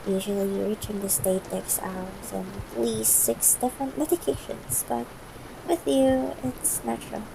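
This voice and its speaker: A woman playing a tender, yearning, gently commanding CEO ex-girlfriend in an intimate ASMR roleplay, soft-spoken and formal in wording, with hesitations. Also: mid-range voice, creaky, close to an everyday voice. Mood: tender, relieved. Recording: noisy broken-microphone home recording.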